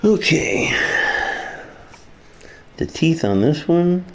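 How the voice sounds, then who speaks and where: A man's voice: a little high, very animated, drawn-out words. A man casually demonstrating a hands-on repair, muttering to himself as he works. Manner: muttering to himself while working. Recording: faint background noise.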